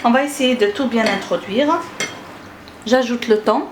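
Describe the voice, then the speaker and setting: Woman with an Algerian accent, higher-pitched. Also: a little slow, background noise. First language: Arabic. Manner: hesitant, frequent filler sounds. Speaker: cooking channel host. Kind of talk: step-by-step recipe narration.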